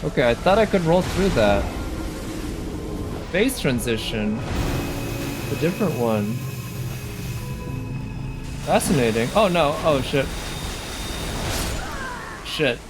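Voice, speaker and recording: Man streaming gameplay over loud music. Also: medium pitch, sing-song, slow pace, pauses often. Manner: thinks aloud, questioning, mild swearing. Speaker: video game livestreamer. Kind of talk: casual live gameplay commentary. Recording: game music behind the voice. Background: loud video game music.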